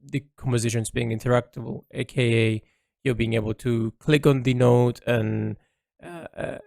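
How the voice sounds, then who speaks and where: A man recording a reflective, unscripted audio log as an artist, rambling and rephrasing haltingly as he thinks aloud into a close mic. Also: medium pitch, fairly even intonation, gravelly, a little mellow, no room sound.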